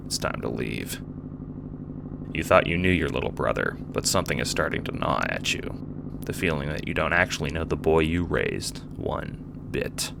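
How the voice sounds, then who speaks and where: A man's voice: rumbling low, animated, normal speed, creaky, noisy background. A man narrating a scripted second-person audiobook drama.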